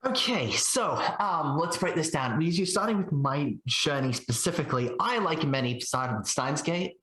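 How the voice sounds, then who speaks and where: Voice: medium pitch, expressive, normal speed, a bit bright, reverberant. A podcast co-host telling a loose, rambling story with jokes. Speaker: podcast co-host, senior editor. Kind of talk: casual podcast chat.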